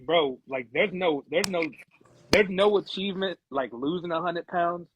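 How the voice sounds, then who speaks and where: A man's voice: high voice, moderate pace, a little hiss or hum, rough recording. A man talking in an emphatic, slangy way as a podcast guest, often checking that the listener follows. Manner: emphatic, slangy, keeps checking "you know what I'm saying". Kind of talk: casual chat.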